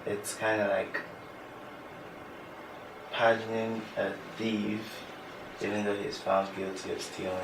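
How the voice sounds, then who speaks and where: A man's voice: fairly low pitch, flat delivery, takes it slowly, pauses often. A man being interviewed, giving his opinion hesitantly with many fillers. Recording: distant mic, echoey room, background noise.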